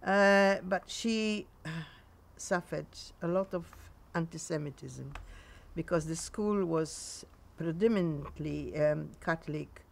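A woman in her nineties with a Polish accent, recounting memories in a halting way in a sunny garden. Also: low-pitched, creaky. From Poland. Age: 90s. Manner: halting, with frequent pauses and restarts. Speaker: interviewee.

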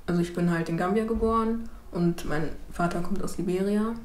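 A young woman in her twenties talking in a documentary interview, thoughtful and hesitant, often pausing to rephrase. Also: lowish voice, darkish tone, reverberant. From Germany. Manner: thoughtful, hesitant, many fillers and restarts. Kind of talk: reflective documentary testimony. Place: small room indoors and street outdoors.

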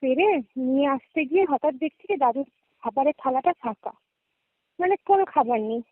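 Young female caller, West Bengal Bengali accent, high register, casual storyteller, tinny phone audio. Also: animated, a little fast, no echo. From West Bengal, India. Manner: conversational, checks in with listener often. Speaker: guest caller. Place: remote call, dry sound.

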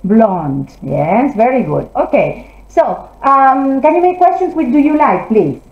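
A woman teaching English to children, encouraging them and gently correcting their answers in an interactive classroom lesson. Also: a little high, sing-song, unhurried. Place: classroom, indoors. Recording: roomy, slightly dull classroom audio.

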